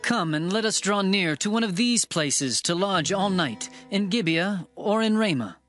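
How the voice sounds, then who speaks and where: Guy doing a firm traveler master in a bible drama, highish voice, bit of music behind. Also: expressive, measured pace, fairly bright tone. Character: resolute traveling master, a weary wayfaring man. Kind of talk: dramatized scripture reading, archaic English.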